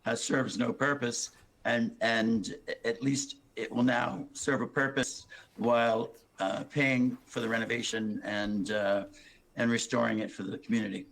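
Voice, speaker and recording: A hoarse man presenting his building plan to a town planning board, rambling with many restarts and fillers. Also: mid-range voice, steady tone, unhurried, a bit bright, low-quality recording. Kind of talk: public meeting testimony.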